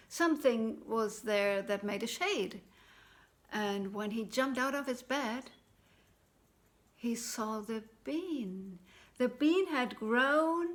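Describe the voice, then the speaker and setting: A woman telling a fairy tale expressively, with deliberate phrasing and dramatic pauses. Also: a little high, a bit bright. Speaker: storyteller.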